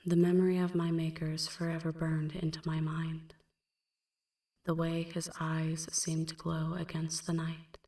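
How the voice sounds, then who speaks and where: A woman playing a melancholy female vampire in an ASMR roleplay, recounting a dark past in a hushed, breathy, measured half-whisper. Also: low-pitched, completely flat delivery, roomy sound, recorded from a distance. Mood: anguished, remorseful. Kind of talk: ASMR roleplay, slow dramatic first-person narration.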